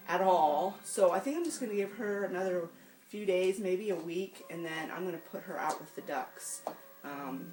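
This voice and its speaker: A woman vlogging casually at home with a raspy, hoarse voice from allergies, chatty and rambling with plenty of ums. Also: lowish voice, roomy sound, far from the mic, rough recording. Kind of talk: casual rambling vlog chat. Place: home and outdoor animal pens. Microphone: handheld camera, self-filmed.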